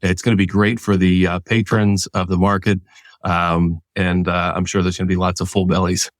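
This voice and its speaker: A man's voice: low voice, level tone, moderate pace, no room sound, studio quality. A man hosting an interview, asking friendly follow-up questions. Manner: encouraging, asks follow-up questions. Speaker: host. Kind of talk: casual interview talk.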